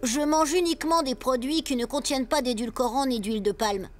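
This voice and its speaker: A woman plays a worldly little cartoon boy with a high voice. He speaks with refined, courteous poise, like a polished know-it-all, over soft music. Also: brisk, lightly acted. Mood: polite, self-assured. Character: polite, precocious, worldly boy cousin in a cartoon. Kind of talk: animated cartoon dialogue.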